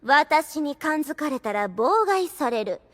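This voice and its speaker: A woman's voice: high voice, sing-song, unhurried, a bit bright, heavily acted. A woman playing a haughty, jealous vampire girl in an anime audio drama, with quaint courtesan turns of phrase and a theatrical, accusing manner. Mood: accusing, indignant.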